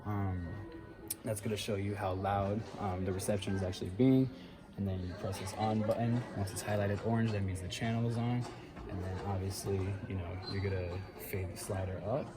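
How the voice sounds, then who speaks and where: A young man in his late teens with a deep voice, casually explaining sound equipment in a theater booth. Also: moderate pace. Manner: relaxed, chatty explaining. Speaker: student sound engineer.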